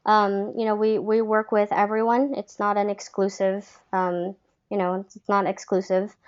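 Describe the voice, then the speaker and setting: Voice coach, female, chatty and encouraging, mid pitch, lo-fi webinar sound. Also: flat delivery, normal speed, clean tone. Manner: friendly, chatty, many fillers. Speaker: voice acting coach. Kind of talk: live webinar Q&A. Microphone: webcam call.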